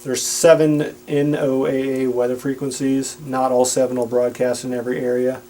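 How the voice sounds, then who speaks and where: A man's voice: medium pitch, flat delivery, moderate pace, mellow, poor audio. A man giving a casual, plain-spoken and explanatory product demonstration.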